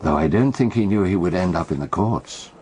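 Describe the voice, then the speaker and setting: An actor playing a shrewd, wry armchair detective in a radio drama, explaining crimes in a measured, knowing, dry manner. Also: male voice, low voice, lively intonation, a little mellow, breathy edge. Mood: knowing, explanatory.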